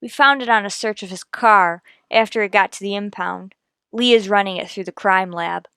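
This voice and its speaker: A woman's voice: mid-range voice, moderate pace, fairly bright tone, clear, resonant tone, no echo, natural voice. A woman playing a no-nonsense, methodical police detective in a radio drama, clipped and matter-of-fact. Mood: businesslike. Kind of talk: old-time radio police drama, scripted narration and dialogue.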